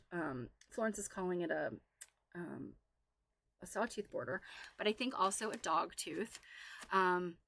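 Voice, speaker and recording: Bright-voiced, chatty woman livestreamer. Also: mid-range voice, no echo. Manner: chatty, enthusiastic, exclaiming. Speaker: livestream host. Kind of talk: casual livestream chat with reading aloud from a quilting book.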